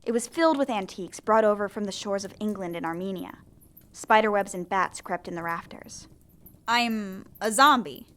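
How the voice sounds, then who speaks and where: A woman's voice: medium pitch, moderate pace, a bit bright, lightly acted. A woman playing a bewildered teenage zombie girl who dramatically narrates her own story in an audio drama. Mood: bewildered, curious. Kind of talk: scripted first-person audio drama narration.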